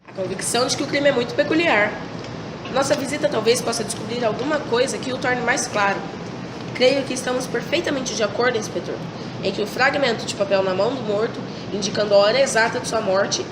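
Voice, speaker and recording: A woman playing a calm, analytical male detective. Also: fairly high pitch, close to an everyday voice. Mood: reasoning. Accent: Brazilian Portuguese. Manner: measured, deliberate reasoning. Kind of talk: student radio drama. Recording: roomy room with clear hiss.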